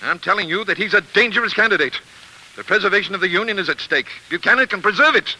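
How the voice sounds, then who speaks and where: A man's voice: high-pitched, quick pace, sharp tone, heavily acted, rough recording. An actor playing a stern, principled older senator and father in an oratorical 1940s radio drama style. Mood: forceful, adamant. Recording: hissy 1940s radio recording.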